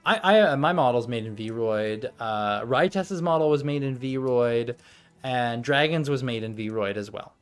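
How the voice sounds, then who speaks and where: A man's voice: mid-range voice, lively intonation, unhurried, smooth. A young man streaming live, chatting casually and muttering to himself while setting up, with music playing behind him. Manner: rambling, self-talking while fiddling with setup. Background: background music.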